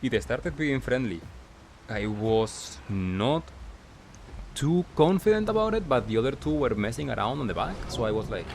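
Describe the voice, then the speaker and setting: A man giving casual gameplay commentary as a recap, talking in short clipped phrases with pauses. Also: medium pitch, big pitch swings, a little mellow, a little hiss or hum. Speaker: gaming video creator.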